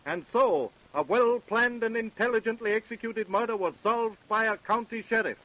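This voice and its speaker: A man playing a police reporter who narrates true crime cases in a grave, deliberate old-time radio style. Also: high voice, a bit bright, slight background noise. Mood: grave, dramatic. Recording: dull, lo-fi old radio recording.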